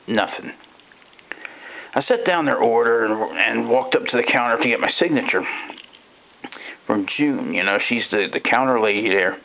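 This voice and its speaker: A man narrating a first-person horror story in a folksy, halting, conversational way, like an ordinary guy confiding. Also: mid-range voice. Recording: thin phone-like band-limited sound.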